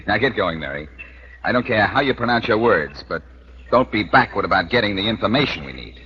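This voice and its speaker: Confident sleuth for radio drama male actor, deep, teasing, muffled and noisy vintage recording. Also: animated, fairly quick, natural voice. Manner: teasing, breezy. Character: wry, confident male amateur detective hero. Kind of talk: 1940s radio crime drama acting.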